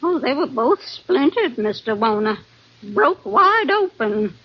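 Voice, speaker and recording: A chatty, motherly older woman. Her voice is high and homely, full of gentle fussing. It sounds like a muffled 1940s radio drama. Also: big pitch swings, slow, exaggerated voice. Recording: muffled old radio recording.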